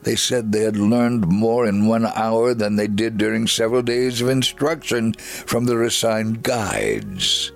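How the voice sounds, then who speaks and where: A low-voiced, solemn, devout storyteller. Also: male voice, a little slow, creaky, hardly any pauses, soft background music. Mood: earnest, devout. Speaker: dramatic reader. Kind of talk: scripted dramatic reading of a memoir.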